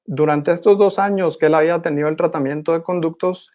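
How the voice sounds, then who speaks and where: A young man gives a formal academic presentation in a measured, didactic manner as a postgraduate student. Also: fairly high pitch, steady tone, darkish tone. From Guatemala. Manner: measured, didactic, clearly enumerating.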